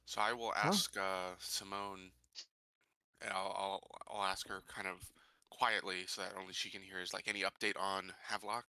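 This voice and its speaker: Male player, very bright, forceful edge on a low voice, understated casual roleplay, dry sound. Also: little pitch movement, normal speed, clear, resonant tone, dry recording. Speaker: player in a tabletop roleplaying game. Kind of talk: casual roleplay, describing his character's actions.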